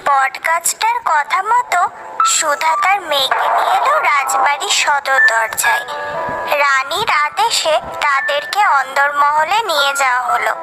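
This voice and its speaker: A woman's voice: high voice, expressive, measured pace, over loud music, low-quality recording. A woman narrating a scripted children's storybook tale.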